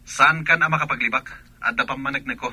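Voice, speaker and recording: A man's voice: medium pitch, steady tone, quick pace, husky, dry recording. A man playing a jealous, hot-tempered soldier with an Ilocano Filipino accent in a radio drama. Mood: angry, accusing. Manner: forceful, accusing. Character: jealous hot-tempered soldier, accusing husband and doting son.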